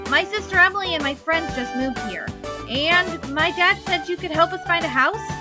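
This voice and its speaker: Woman as a brisk, practical, high-voiced girl. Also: over music.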